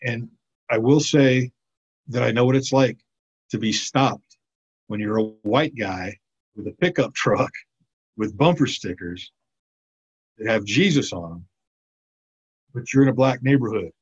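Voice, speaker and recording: A man's voice: mid-range voice, a little slow, long pauses, husky. A man sharing a personal experience casually in a group, hesitant with bursts of emphasis. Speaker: church group participant. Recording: dull, lo-fi sound.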